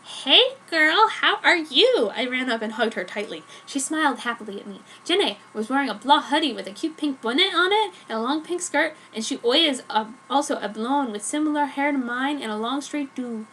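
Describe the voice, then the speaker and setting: A woman narrates fan fiction as a dramatic reading. Her voice is high-pitched and bright, with theatrical first-person delivery and some noise in the background. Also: fairly quick, hardly any pauses.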